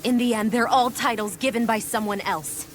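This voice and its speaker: An actress voicing an assertive, scornful female warrior in a game cutscene, sharp-tongued and confrontational. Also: on the high side, fairly even intonation, moderate pace, soft background music, lightly acted. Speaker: video game character voice actor. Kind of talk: dramatic game cutscene dialogue.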